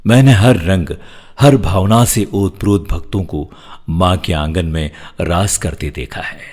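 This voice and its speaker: Casting: male actor as emotional festival spirit, low and raspy, declaiming poetic devotion to its followers. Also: a little slow, a little mellow. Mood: devoted, tender.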